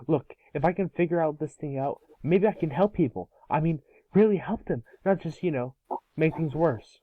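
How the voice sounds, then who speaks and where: A snarky ten-year-old boy hero from a cartoon, acted out by an amateur reader. He is curious and quick to tease. The sound is very dry and thin, like a phone line. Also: mid-range voice, no room sound, lightly acted. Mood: earnest, eager. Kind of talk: amateur cartoon table read. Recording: muffled, phone-like voice call.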